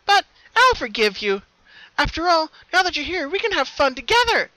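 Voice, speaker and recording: A man's voice: very high voice, very animated, normal speed, sharp tone, no echo, pitched above the performer's own voice, exaggerated voice, poor audio. A man voicing a bubbly, impatient and menacing female pony double in a story reading, with a bouncy, huffy delivery. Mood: manic glee.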